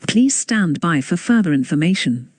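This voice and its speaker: An announcer reads a scripted broadcast interruption notice in a husky voice. The intonation swings widely, and the sound is very dry. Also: medium pitch, very animated, normal speed, darkish tone, dry recording.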